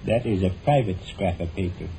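An actor playing a stubborn, long-suffering husband with dry, pompous formality in a 1940s radio comedy. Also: male voice, low voice, normal speed, mellow. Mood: defensive. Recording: muffled, noisy old 1940s radio broadcast.

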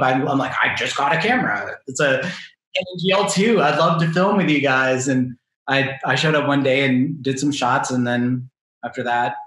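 A man in his thirties, a filmmaker guest speaking casually over a video call from a small room, relaxed and rambling. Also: medium pitch. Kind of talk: casual interview answers. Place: small home room with shelves. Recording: roomy webcam sound.

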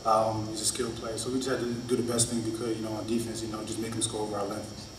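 Fast, even-toned young man, echoey room. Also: on the low side. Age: early 20s. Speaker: college basketball player. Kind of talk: press conference answers. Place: press conference room, indoors. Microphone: table microphone on stand. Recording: echoey room, distant mic, clear noise.

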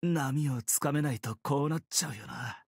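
A man's voice: mid-range voice, moderate pace, husky, no echo, studio quality, lightly acted. A man playing an easygoing, teasing young swordsman in a mobile game, with a casual, playful drawl. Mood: casual, rueful. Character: easygoing, teasing young swordsman, laid-back surfer temper. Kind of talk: Japanese mobile game character voice lines.

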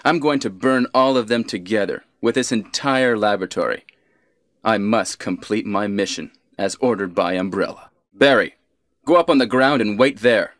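An actor playing a cold, scheming villainous commander in a video game, deliberate, condescending and menacing in stilted cutscene delivery. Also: male voice, on the low side, fairly bright tone, no room sound, clean studio sound, close to an everyday voice.

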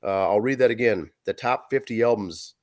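Hoarse man reading album notes. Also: on the low side, moderate pace, a little mellow. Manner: reads facts and track lists with fillers. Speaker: podcast co-host reviewing an album. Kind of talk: casual podcast chat, reading notes. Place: small room at home. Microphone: video call webcam.

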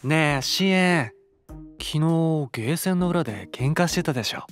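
Drama CD: innocent, eager teenage boy, softly boyish, animated pitch, faint music behind. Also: normal speed. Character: eager, innocent-sounding male student who loves fighting. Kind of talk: audio drama acting.

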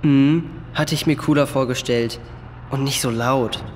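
A man's voice: medium pitch, fairly even intonation, unhurried, natural voice. A young-sounding male actor playing a level-headed boy in a children's adventure audio play. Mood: dry. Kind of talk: children's audio drama acting. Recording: long cave-like reverb, faint water noise.

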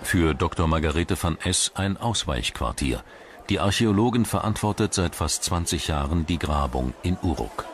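A man reading scripted documentary narration in a measured, formal manner. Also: low voice, slight background noise.

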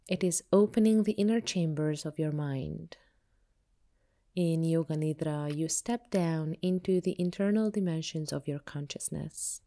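A woman guides a meditation in a fairly low, mellow voice. She speaks very slowly and soothingly, giving each instruction in a measured, unhurried way, as a yoga teacher.

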